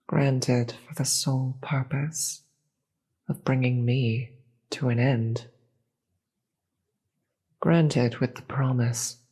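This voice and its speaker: A performer voicing a weary, regal demon queen with a husky voice and formal, theatrical manner in a scripted audio roleplay. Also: mid-range voice, warm tone. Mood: weary, bitter. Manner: theatrical, formal, slow deliberate delivery.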